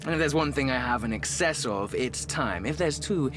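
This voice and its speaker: A man's voice: mid-range voice, lively intonation, normal speed, noisy background, natural voice. A man as a wry, theatrical, swooping ancient mummy. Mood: wry, languid. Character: jaded, vain, decadent ancient male mummy in expensive suit. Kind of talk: video game voice acting. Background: music.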